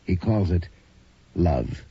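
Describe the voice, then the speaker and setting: An actor playing the brooding male host of a horror radio drama, speaking slowly and ominously in a very deep, gravelly voice. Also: expressive, exaggerated voice. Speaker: series host narrator. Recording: dull vintage radio broadcast with music.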